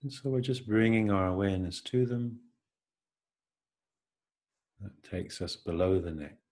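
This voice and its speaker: Man, meditation guide, deep voice, very slow delivery, gentle halting phrases, reflective.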